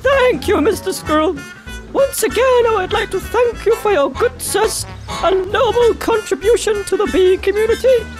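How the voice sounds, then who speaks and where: Brief: grand, fussy queen bee, extremely high-pitched, game cartoon voice, music behind. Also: normal speed, low-quality recording. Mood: formal, grudging. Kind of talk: video game cutscene dialogue.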